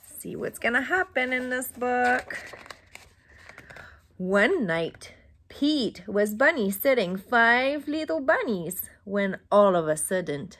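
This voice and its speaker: A middle-aged woman reading a picture book aloud to young children in an exaggerated, interactive way, with questions and pauses. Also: a little high, very animated, a bit bright. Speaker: children's storybook reader. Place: small indoor room at home.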